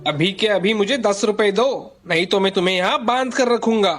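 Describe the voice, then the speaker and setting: A woman voicing a greedy, bullying male sweet-shop owner in a cartoon story, with a gruff, threatening manner and a much deeper put-on voice. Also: normal speed, soft background music, lightly acted.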